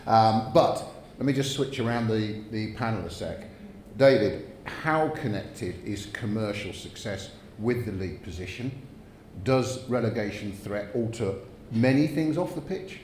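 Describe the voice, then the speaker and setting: A man with a low voice moderates a panel Q&A and asks questions in a conversational way. The room is echoey, with faint noise behind him. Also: lively intonation, a little slow, a little mellow. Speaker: host and moderator.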